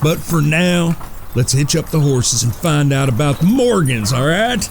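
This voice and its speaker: An actor playing the dry, bossy, sardonic leader of cowboy outlaw brothers, in a Western audio drama. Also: male voice, a little high, lively intonation, measured pace, noisy background. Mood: firm, exasperated.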